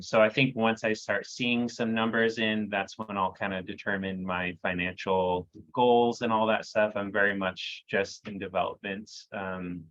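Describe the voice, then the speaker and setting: A man introducing himself on a video call in a relaxed way, with many pauses and fillers. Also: fairly low pitch, no echo, muffled recording. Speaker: workshop participant.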